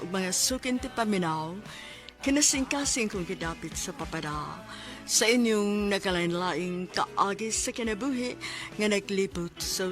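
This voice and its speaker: A woman's voice: medium pitch, a bit bright. A woman with a Cebuano accent announces as a radio drama host. Her voice sits in the middle range and the delivery is scripted. Faint music plays beneath her.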